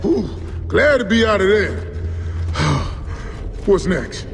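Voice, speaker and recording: A man playing a brash, wisecracking, squeamish soldier in a video game, complaining in loud slang. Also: sits high, very animated, drawn-out words, long reverb tail, faint music behind, heavily acted. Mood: relieved.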